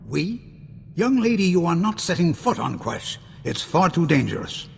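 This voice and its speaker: A stern, protective father figure from a science-fiction game. His voice is highish and full of gravel, measured and grave. It rings in a long reverb with faint ambient noise. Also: big pitch swings. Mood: stern, reluctant.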